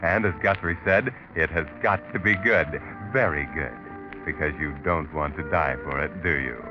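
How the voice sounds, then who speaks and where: An actor playing a sardonic, ominous male narrator of a 1940s radio mystery, taunting and insinuating. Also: extremely low pitch. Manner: taunting, insinuating, deliberate rhetorical questions. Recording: muffled 1940s radio recording with music.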